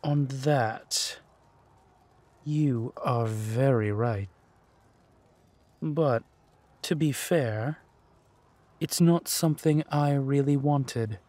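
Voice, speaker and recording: A man acts out a ruthless warlord duke in a fantasy audio drama. He takes his time over every phrase with possessive menace, in a mid-pitched voice, recorded close and dry. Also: a little mellow, no echo. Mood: mocking, composed. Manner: menacing, slow, deliberate phrasing. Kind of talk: dramatic villain roleplay audio.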